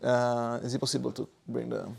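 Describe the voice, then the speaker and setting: Gravelly, hesitant man chairing a talk. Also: mid-range voice, flat delivery, fairly quick. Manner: hesitant, many fillers. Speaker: event chair. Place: lecture room.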